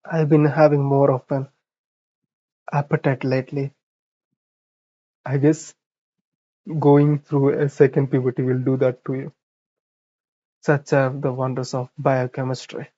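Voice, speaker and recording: A streamer reading visual novel narration aloud in a mid-pitched voice, with casual asides. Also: little pitch movement, a little slow, pauses often, dark tone, dull audio.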